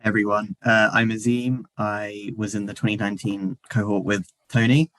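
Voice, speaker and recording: A young man with a very low voice talks casually about his work. He is relaxed and hesitant, and he pauses often on fillers. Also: low-quality recording. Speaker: alumnus of a documentary training scheme. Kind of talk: casual panel chat.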